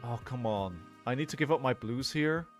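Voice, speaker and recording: A man in his forties with a medium-pitched voice streams a card game over background music. He thinks aloud in a casual, rambling way and talks to his viewers, often checking in with a quick tag question. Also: animated. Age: 40s. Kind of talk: casual live gaming commentary mixed with chat to viewers.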